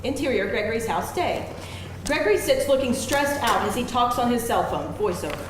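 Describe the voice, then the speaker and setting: A woman's voice: fairly high pitch, lively intonation, fairly quick. A woman reading stage directions as a scripted narrator at a live table read. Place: live event room before an audience. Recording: very echoey live room, faint noise.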